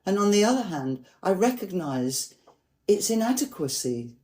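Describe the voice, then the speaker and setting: A woman poet speaking in an earnest, reflective way about language, slowing down to stress her ideas. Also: medium pitch, very animated, dark tone. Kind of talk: reflective conversation about poetry.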